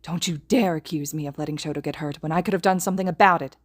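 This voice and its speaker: A woman's voice: low-pitched, fast talker. A woman voicing a tense young man who argues with cold stillness and then screams in a fan audiobook. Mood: furious.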